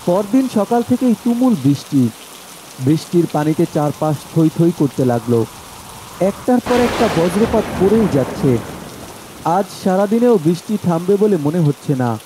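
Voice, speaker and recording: A man's voice: high voice, very animated, normal speed, mellow, a little hiss or hum, low-quality recording. A man narrating a scripted horror story as its storyteller.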